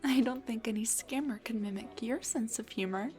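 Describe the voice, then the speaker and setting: A woman's voice: a little high, moderate pace, darkish tone, faint music behind, close to an everyday voice. A woman playing a shy, nervous dullahan on a blind date in an intimate audio roleplay, speaking in a flustered, hesitant, rambling way. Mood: shy, pleased. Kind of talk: intimate scripted audio roleplay addressed to the listener.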